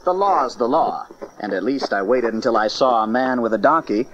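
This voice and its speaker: An actor playing a brash, bossy but good-humored Roman soldier in an audio Bible drama, gruff and blustering. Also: male voice, mid-range voice, expressive, normal speed, close to an everyday voice. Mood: impatient, commanding. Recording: dull, slightly noisy cassette tape.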